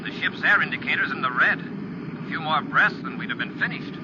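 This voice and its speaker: An actor playing a level-headed, commanding space patrol commander in a 1950s radio adventure, giving decisive orders. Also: male voice, a little high, animated, brisk, close to an everyday voice. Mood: urgent. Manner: authoritative, decisive, crisp orders. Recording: muffled, noisy 1950s radio recording.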